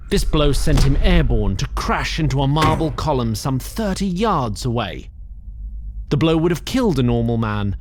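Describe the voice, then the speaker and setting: A man's voice: mid-range voice, a little hiss or hum. A man narrating an audio drama in a dry, wry storytelling manner.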